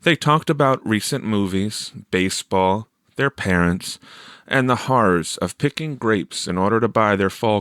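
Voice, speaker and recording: A man narrates a story in a lowish voice. The sound is dry, with no room on it, and the delivery is scripted audiobook storytelling. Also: fairly bright tone, no echo. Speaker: audiobook reader.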